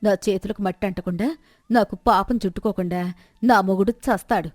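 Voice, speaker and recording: A woman puts on a village wife from a moral story, greedy and full of schemes. She is sharp-tongued and complains with scorn, in a dry, close sound. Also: medium pitch, fairly quick, no echo, natural voice. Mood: scheming. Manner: scornful, self-pitying complaining.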